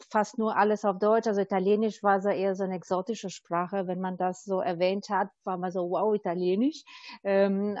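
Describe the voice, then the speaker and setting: A woman's voice: medium pitch, darkish tone, dry recording. Albanian-accented woman in her thirties, dull dry sound. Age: 30s. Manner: hesitant, many ums and restarts. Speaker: interviewee. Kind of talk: conversational interview.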